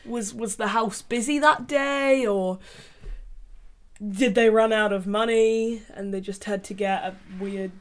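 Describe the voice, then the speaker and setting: A young woman giving deadpan, sarcastic commentary from her bedroom into a desk microphone. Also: mid-range voice, a little slow. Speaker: YouTube commentator. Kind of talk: sarcastic commentary on a reality show. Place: small bedroom with colored lights. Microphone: large desk microphone.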